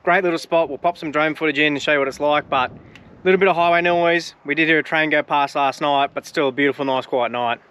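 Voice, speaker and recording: A man's voice: a little high, fairly even intonation, fast talker, fairly bright tone, smooth, highs cut off. Laid-back Australian man vlogging outdoors. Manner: laid-back, rambling, practical. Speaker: travel vlogger. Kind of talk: casual vlog narration. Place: outdoors by a highway and camp.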